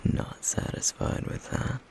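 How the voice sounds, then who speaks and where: A man playing a stoic, guarded flame demon in an ASMR roleplay, in a breathy, gravelly near-whisper with halting, measured pauses. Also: a little mellow, a little hiss or hum, lightly acted. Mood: wary, quietly curious.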